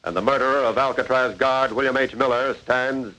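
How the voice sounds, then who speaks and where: Man as crime show narrator, medium pitch, stern, emphatic, deliberate, 1940s radio recording. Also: steady tone, sharp tone, lightly acted, low-quality recording. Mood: grave. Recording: vintage 1940s radio broadcast.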